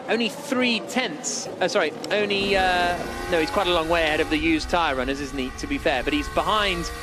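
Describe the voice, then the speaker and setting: A man giving live motor racing commentary with an excited, urgent play-by-play delivery. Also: high-pitched.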